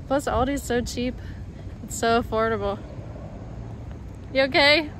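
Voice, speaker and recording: A woman's voice: on the high side, slow. A woman filming a casual grocery vlog in a store, chatting about the items and prices she sees as she shops. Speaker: vlogger. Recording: loud background store noise.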